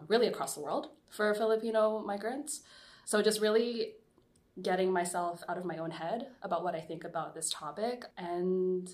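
A woman with a somewhat low voice speaks earnestly as an interview guest. She muses through her thoughts, and her endings often trail off softly. Her delivery is casual and conversational. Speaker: interview guest, seminar facilitator.

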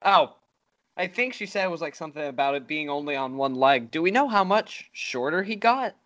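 A man's voice: a little high, expressive, fairly quick, fairly bright tone, no room sound, lo-fi sound. A man hosting a casual podcast interview, asking the questions and dropping in joking asides. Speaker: podcast host.

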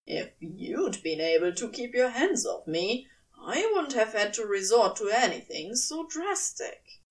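A woman's voice: a little high, very animated, measured pace, distant mic, rough recording. A woman puts on a male demon for an audio story, defensive yet apologetic. He speaks bluntly and without hurry, with a wry edge. The recording sits slightly away from the microphone. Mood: teasing.

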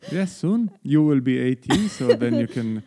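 Hesitant middle-aged man with a mellow voice. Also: mid-range voice, animated, normal speed. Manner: questioning, hesitant with many uhs. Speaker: podcast host, the guest's father. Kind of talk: casual interview chat.

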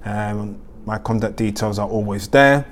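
A man's voice: lowish voice, unhurried. A man hosting a weekly news roundup, reading the items with many ums and uhs. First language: not German. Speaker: host.

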